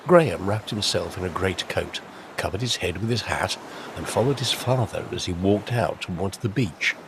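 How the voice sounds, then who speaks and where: A man's voice: on the low side, background noise. A man narrating a scripted audio drama as a dramatic storyteller. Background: music.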